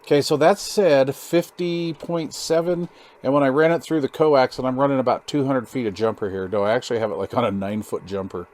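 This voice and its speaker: A man's voice: mid-range voice, brisk, a little mellow. A man hosting a hands-on DIY radio build video, chatting casually in a rambling, folksy way with informal slang. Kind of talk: casual hands-on tutorial chat.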